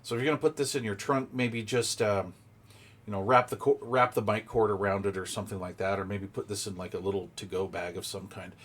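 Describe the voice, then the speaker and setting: A man's voice: on the low side, fairly even intonation, brisk, a bit bright. A man giving a casual, hands-on unboxing commentary, explaining details informally and thinking aloud as he goes. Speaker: product reviewer.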